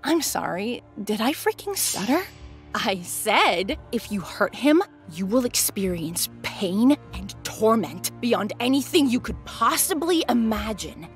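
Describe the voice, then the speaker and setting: A woman's voice: fairly high pitch, sing-song, measured pace, faint music behind. A woman voicing a fierce captive fairy woman in an anime parody dub, menacing and cutting. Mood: cold threat.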